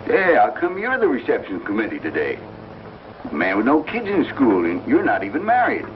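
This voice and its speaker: An actor playing a crusty, excitable townsman in an old Western television drama, grumbly and folksy. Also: mid-range voice, normal speed, slight background noise. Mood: grumbling, nosy. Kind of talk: 1950s Western television acting. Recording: dull old TV soundtrack with music.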